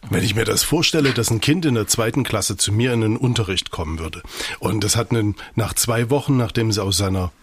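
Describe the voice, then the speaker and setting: Low-voiced male co-host, dry close sound. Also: a little fast, breathy edge, no echo. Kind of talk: casual interview chat.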